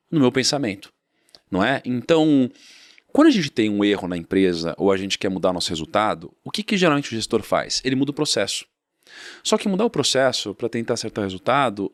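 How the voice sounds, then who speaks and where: A man's voice: medium pitch, no echo. A Brazilian man speaks on a podcast in an ordinary mid-range voice. He tells his story by building one repeated phrase on the next. The sound is close and dry, with no room around it. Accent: Brazilian Portuguese. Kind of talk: casual podcast talk.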